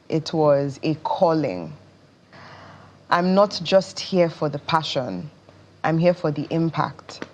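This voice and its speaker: A woman with a Nigerian accent speaking as a television interview guest in an earnest, deliberate way. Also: deep voice, a little mellow. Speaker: interview guest, stage performer.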